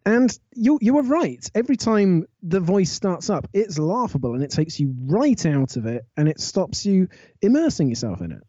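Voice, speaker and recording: Lively, mellow Englishman, slightly muffled. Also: sits high, moderate pace, no echo. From England. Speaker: podcast co-host reviewing audio dramas. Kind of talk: casual review chat.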